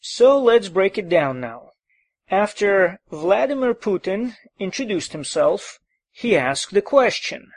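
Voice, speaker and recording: A man's voice: high-pitched, very animated, slow, no echo. A man teaching a recorded language lesson, patiently explaining phrases and drilling them by repetition.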